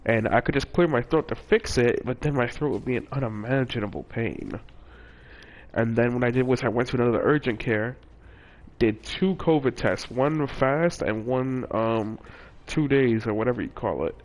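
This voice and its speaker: A man's voice: mid-range voice, moderate pace. A young man casually telling a personal story in a rambling way, with many fillers and restarts. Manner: rambling, with frequent fillers and self-corrections. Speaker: vlogger.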